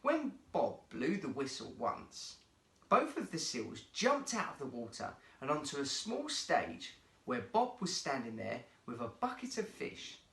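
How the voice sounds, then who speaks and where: Male narrator, children's storybook style, slow measured pace, expressive lively intonation, echoey room. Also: a little high, creaky. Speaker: guest storyteller, actor.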